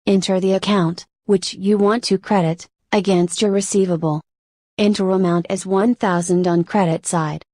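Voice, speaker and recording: A woman narrates a software tutorial from a script. Her pitch sits in the middle for a woman, and she explains in measured steps. The recording is close and dry, with little room sound. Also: fairly even intonation, no echo.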